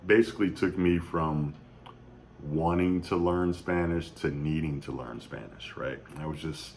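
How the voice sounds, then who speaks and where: A man in his thirties speaking off the cuff in a somber, reflective video tribute, halting often with fillers and restarts. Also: very deep voice, a little mellow, a little hiss or hum. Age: 30s. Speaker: YouTube vlogger. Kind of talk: unscripted personal tribute.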